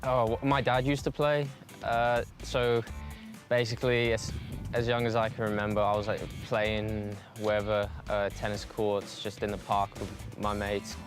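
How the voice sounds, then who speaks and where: Flat-voiced New young man. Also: on the low side, normal speed. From New Zealand. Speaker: college soccer player. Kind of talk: casual interview answers. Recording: music bed under interview.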